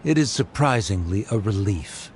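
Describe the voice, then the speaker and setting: Game casting: disdainful male antagonist acted by a man, pompous, expressive swings, faint ambience behind. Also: on the low side, moderate pace, slight background noise, close to an everyday voice. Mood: disdainful, relieved. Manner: pompous, formal. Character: haughty, disdainful male villain, coldly condescending. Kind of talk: video game story dialogue.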